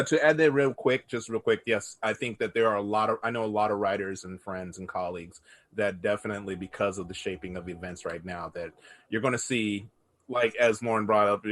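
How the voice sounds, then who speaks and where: A man chatting casually on a video panel, conversational and emphatic, often checking for agreement. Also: low voice. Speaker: panelist, storyteller. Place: indoors, on Zoom call.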